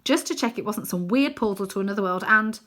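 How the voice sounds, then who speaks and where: A woman's voice: medium pitch, expressive, fast talker, fairly bright tone, lightly acted. A woman voicing a stubborn, scornful girl in a storybook, firm and sceptical in manner. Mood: indignant, defensive. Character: stubborn, bossy, scornful girl, a twin sister.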